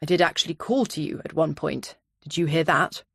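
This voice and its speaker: A woman's voice: low voice, brisk, vocal fry, slightly rough, no room sound, clean studio sound, full character voice. A woman voicing a big, casual, apologetic man in an audiobook, with a placatory, offhand manner. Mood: sheepish, casual.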